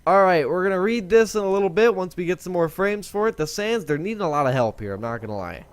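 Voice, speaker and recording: A young man hosting a casual gaming video, rambling and chatty as he corrects himself, with a voice he says is lower than usual today. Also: animated, fast, clean tone, no room sound. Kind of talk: casual let's-play commentary.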